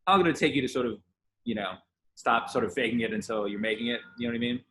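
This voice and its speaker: A man's voice: mid-range voice, racing, pushed and bright, slight background noise, rough recording. A young man co-hosting a podcast, asking questions in a casual, conversational style. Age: young adult. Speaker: podcast co-host, interviewer. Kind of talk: casual interviewing.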